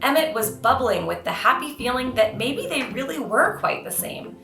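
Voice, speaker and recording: A woman author reading aloud in an expressive, earnest children's storytelling style. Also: a little high, normal speed, vocal fry, reverberant, soft background music.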